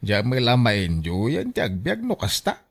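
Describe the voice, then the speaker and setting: A man's voice: mid-range voice, huge pitch swings, unhurried, heavily acted. A man playing a pushy, argumentative youngest son in a radio drama, with an Ilocano accent. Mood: insistent. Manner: argumentative, insistent. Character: pushy youngest son squabbling with his sister over inheritance.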